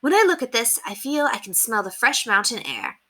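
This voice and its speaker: A woman's voice: a little high, sing-song, brisk, fairly bright tone, pitched above the performer's own voice. A woman voicing a prim, earnest and kindly young English governess in a children's storybook, with a proper, effusive way of talking. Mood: warm, effusive. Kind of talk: children's storybook read aloud.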